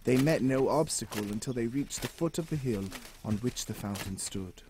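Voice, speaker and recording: A man's voice: medium pitch, lively intonation, moderate pace, noisy background. A man giving dramatic scripted narration of a fairy tale for an audio drama. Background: music.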